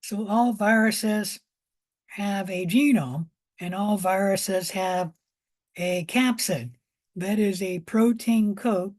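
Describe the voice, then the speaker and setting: A woman with a hoarse voice giving an informal biology lecture, explaining step by step with pauses and repetition. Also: mid-range voice, a little mellow.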